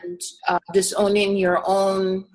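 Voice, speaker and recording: A middle-aged woman from East Africa talks through her story in a casual interview. Her voice sits at an ordinary pitch. She is earnest and reflective, with frequent pauses and fillers as she gathers her thoughts. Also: little pitch movement, darkish tone, poor audio. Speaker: herbalist guest.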